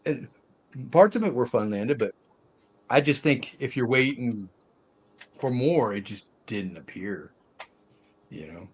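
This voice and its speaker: A man's voice: medium pitch, animated, a little slow, long pauses, slightly rough. Older man hosting a casual film chat. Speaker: host of a film review panel. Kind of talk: casual movie discussion.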